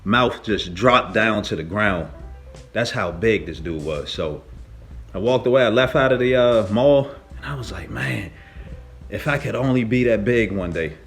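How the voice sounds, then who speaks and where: A man telling a story casually as a lifting vlogger, with an animated, slangy and emphatic way of talking. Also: fairly low pitch, measured pace, creaky, faint music behind. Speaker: fitness vlogger, powerlifter.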